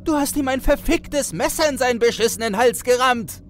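Man doing a very high, frightened young woman. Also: fairly quick, soft background music. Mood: panicked, accusing. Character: frightened, drunk young woman in horror story. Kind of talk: acted horror audiobook dialogue.

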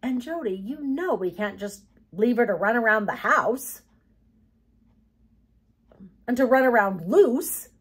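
A woman's voice: fairly high pitch, lively intonation, slow pace, long pauses, breathy edge, heavily acted. A woman voicing a grumpy, brash boy with blunt, grumbling speech for a story.

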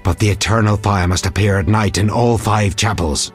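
A man acts out a zealous old fire priest in a fantasy video game. The voice is low and solemn, preaching with stern scorn. Soft music plays underneath. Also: steady tone, moderate pace, close to an everyday voice. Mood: solemn, scornful.

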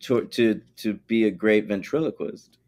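A man's voice: on the low side, normal speed, a little mellow, a little hiss or hum. A man co-hosting a podcast, talking bluntly and swearing freely in a casual, teasing recap chat. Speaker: podcast co-host.

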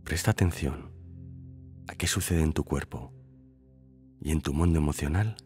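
Male meditation guide, extremely low register, calm and measured, soft music underneath. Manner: calm, unhurried phrasing with long pauses. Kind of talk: slow scripted guided meditation. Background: background music.

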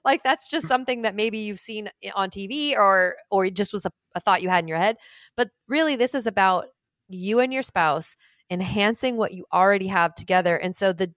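A young woman co-hosting a podcast over a remote call, giving relaxed advice in a chatty way full of fillers and "like". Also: medium pitch, a little fast, no echo. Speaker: podcast co-host, intimacy coach. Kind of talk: casual advice chat. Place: small room at home. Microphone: studio mic on stand, headphones, remote call.

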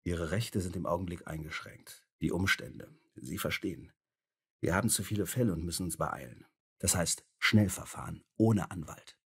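A man's voice: low voice, normal speed, slightly rough, full character voice. A man acting a stern, officious enforcer with a brusque, bureaucratic manner in a radio play. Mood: cold, officious.

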